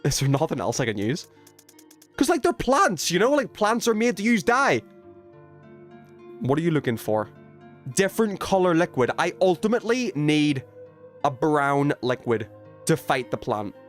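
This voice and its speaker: A man doing casual, excitable gaming commentary, thinking aloud in slang as he chats with stream viewers. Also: on the high side, moderate pace, long pauses, soft background music. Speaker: video game livestreamer.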